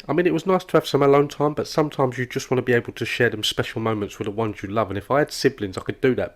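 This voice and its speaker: Man acting fast-talking, oblivious office chatterbox. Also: on the low side, natural voice. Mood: nosy, oversharing. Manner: rambling, oversharing, cheerfully oblivious. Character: oblivious, relentlessly chatty male office worker. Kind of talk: comic animated dialogue.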